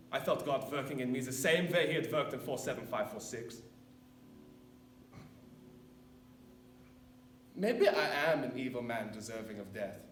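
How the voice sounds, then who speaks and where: A man performing a dramatic monologue as a haunted, repentant older former camp officer, speaking solemnly and deliberately with weighty pauses. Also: mid-range voice, lightly acted. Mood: subdued, remorseful. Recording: echoey room, distant mic, faint noise.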